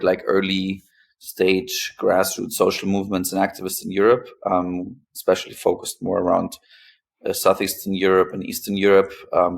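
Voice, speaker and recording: Deep, gravelly man speaking hesitantly. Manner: hesitant, pausing often between phrases. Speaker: podcast guest, philanthropist. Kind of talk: interview conversation, recounting own experience.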